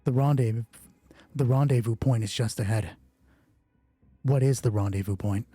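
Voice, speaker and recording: A man hosting a solo roleplay game, narrating it dramatically and deliberately in short phrases. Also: mid-range voice, dark tone, slightly rough, no echo.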